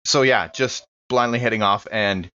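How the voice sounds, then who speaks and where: Brief: male tabletop player, deep voice, casual table talk, slightly muffled sound. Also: normal speed, sharp tone. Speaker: player in a tabletop game podcast. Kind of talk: casual game-table chat.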